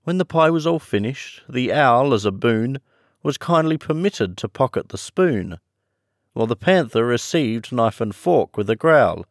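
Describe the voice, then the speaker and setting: A man's voice: mid-range voice, animated, a little slow, no echo, clean studio sound, voice pushed up. A man voicing Alice, an eager and puzzled young girl with a breathless manner. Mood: reciting nervously.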